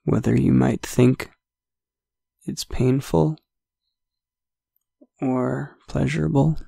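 A man leading a guided meditation, speaking slowly and softly with long pauses between phrases. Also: mid-range voice, steady tone, creaky, slightly rough, dry recording, studio quality. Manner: slow, soft-spoken, with long pauses.

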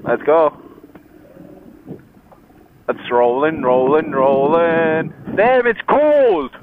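A high-voiced man with an Eastern European accent jokes and swears loosely with a friend. His pitch swings in a lively way, and he comes through a thin, phone-like helmet intercom over light road noise. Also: drawn-out words. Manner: swearing freely, jokey. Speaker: motorcycle rider hosting a vlog. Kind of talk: casual joking chat between friends. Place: outdoors riding a motorcycle. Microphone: helmet headset.

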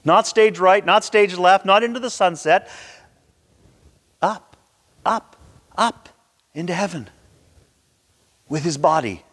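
A man in his sixties preaching a sermon with theatrical, emphatic delivery and dramatic pauses, recorded in a church. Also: high voice, a bit bright. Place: large church sanctuary, indoors at a pulpit. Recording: reverberant church hall.

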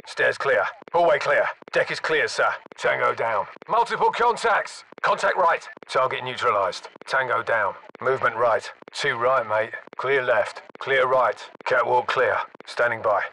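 A calm soldier. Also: male voice, mid-range voice, measured pace, vocal fry, hardly any pauses, slight background noise, full character voice, rough recording. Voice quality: clipped radio callouts. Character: male British soldier, calm and clipped. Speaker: special forces soldier. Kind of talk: video game voice lines.

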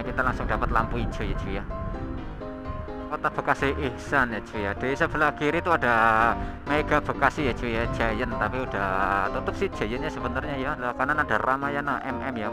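A young man, chatting casually as he rides a motorbike through city traffic, recorded on a helmet camera mic over loud background music. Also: medium pitch, fairly quick. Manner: chatty slangy banter, frequent laughs. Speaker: motovlog host. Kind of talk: casual riding commentary. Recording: road wind noise with loud background music.

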